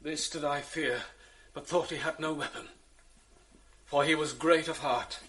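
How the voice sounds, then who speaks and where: An actor playing a sorrowful officer, speaking quietly and mournfully in a 1980s radio drama. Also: male voice, a little high, steady tone, unhurried, natural voice. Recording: noisy radio drama recording.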